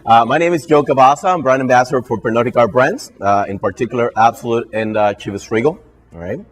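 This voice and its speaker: A man's voice: mid-range voice, quick pace. A man from Puerto Rico with a Puerto Rican Spanish accent, Spanish his first language, giving a live training to bartenders and ending nearly every phrase with "all right?". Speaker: brand ambassador and trainer. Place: hotel function room with an audience.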